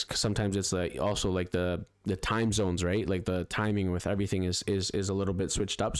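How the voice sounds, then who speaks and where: A man's voice: on the low side, no echo. A man hosting a casual live trading stream, talking over charts in a chatty way, full of fillers, restarts and "right, guys?". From Spanish-speaking background. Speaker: forex trading livestream host.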